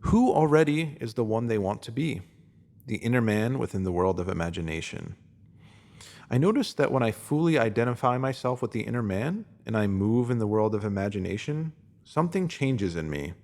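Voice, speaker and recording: A man reading a spiritual essay aloud in a calm, measured, reflective way. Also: mid-range voice. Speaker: reader.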